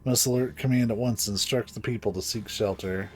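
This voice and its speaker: A man voicing an earnest, dutiful guard from a video game, reading the formal dialogue aloud with deliberate urgency. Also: on the low side, steady tone, soft background music, natural voice. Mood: alarmed.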